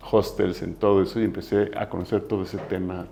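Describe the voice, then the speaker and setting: A Mexican man in his forties with a Mexican accent, a guest telling his story in a relaxed, self-deprecating way. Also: sits low, a little fast, vocal fry, slight background noise. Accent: Mexican Spanish. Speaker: entrepreneur guest. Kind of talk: casual interview storytelling.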